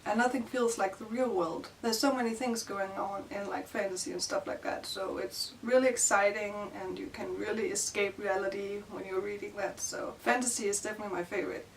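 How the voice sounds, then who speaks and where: A young Danish woman talks in a casual, hesitant vlog, leaning on "like" and "you know" as she goes. Her voice sits at an ordinary pitch. It is caught from a little way off the mic, with a faint hiss underneath. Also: fairly even intonation, fairly quick, few pauses, distant mic, rough recording. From Denmark. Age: young adult. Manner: shy, hesitant, many fillers like "like" and "you know". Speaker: book vlogger. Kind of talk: casual vlog answering tag questions.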